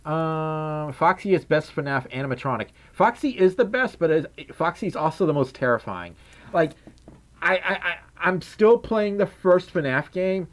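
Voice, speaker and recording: A young man with a scratchy voice, chatting playfully on a livestream, giggling and humming while he reads chat messages. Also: high-pitched. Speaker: streamer. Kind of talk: casual livestream chat reading donations.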